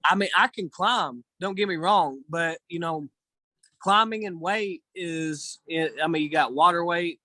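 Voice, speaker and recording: A man's voice: on the high side, unhurried, pushed and bright, dry recording. A man who arm wrestles, talking in a rambling, loose way in a casual chat.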